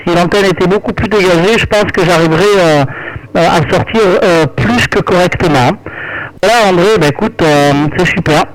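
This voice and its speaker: Man, slightly high voice; relaxed ham radio chat, friendly and rambling. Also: poor audio. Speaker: amateur radio operator. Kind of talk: casual ham radio conversation. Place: at home at night.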